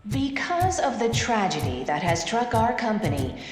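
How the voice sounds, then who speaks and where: An actress playing an officious corporate announcer in a video game, reading a notice with formal, detached politeness. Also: female voice, a little high, big pitch swings, moderate pace. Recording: echoey reverberant hall announcement, faint music.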